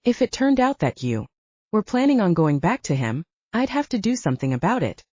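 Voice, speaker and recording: A woman's voice: mid-range voice, sing-song, fairly quick, a little mellow, no room sound, lo-fi sound. A woman voicing a teasing, determined male hero in a werewolf audiobook, with casual, wry banter. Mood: firm. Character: teasing, determined man, first-person hero of werewolf story. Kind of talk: audiobook character acting.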